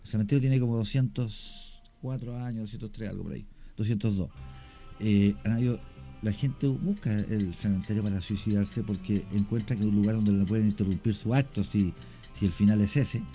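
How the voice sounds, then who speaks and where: A Chilean man with a low, dark, mellow voice tells stories as a radio guest. He talks in a measured way and pauses often with hesitations. Faint music plays underneath. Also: fairly even intonation. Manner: measured storyteller, many hesitations. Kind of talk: radio interview storytelling.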